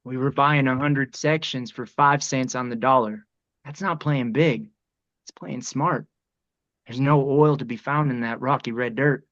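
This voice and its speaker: A man's voice: mid-range voice, fairly even intonation, measured pace, a bit bright, highs cut off, lightly acted. A man acting a shrewd oilman in his fifties in a script read, blunt and assured. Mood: brisk, blunt. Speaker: actor.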